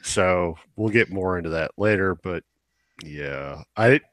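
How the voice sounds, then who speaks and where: A man's voice: deep voice, takes it slowly, a bit bright, dry recording, studio quality. A young man chatting casually as a podcast co-host, opinionated and rambling, swearing now and then. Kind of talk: casual chat about video games.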